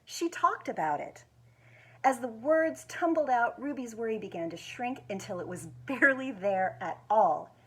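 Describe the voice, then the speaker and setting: An American woman with a husky voice reads a children's picture book aloud expressively, stretching words for emphasis. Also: a little high. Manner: expressive, with drawn-out emphasis and quiet asides to show pictures. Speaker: executive director of a schools foundation, guest reader.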